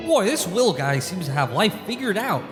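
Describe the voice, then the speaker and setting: A man's voice: sits high, big pitch swings, normal speed. A man playing a folksy, naive, inquisitive angel in a comic radio play. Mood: curious, puzzled. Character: folksy heavenly angel watching a man's life. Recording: long reverb, music behind. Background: music.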